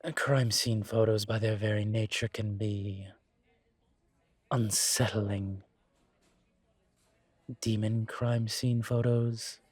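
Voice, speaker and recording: A man playing a calm, courteous incubus professor and investigator in an intimate audio roleplay, speaking considerately with careful pauses. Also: fairly low pitch, slightly rough, no room sound. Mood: cautioning. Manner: measured, considerate, hesitant pauses. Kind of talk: scripted audio roleplay, intimate one-sided conversation.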